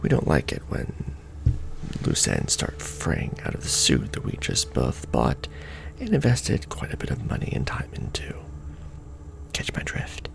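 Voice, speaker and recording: A man playing a jaded, sardonic manager at a secret society in an ASMR roleplay, speaking in a dry, world-weary deadpan. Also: very deep voice, measured pace, vocal fry, heavily acted. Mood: weary, businesslike. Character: jaded, sardonic male Illuminati manager briefing a new recruit.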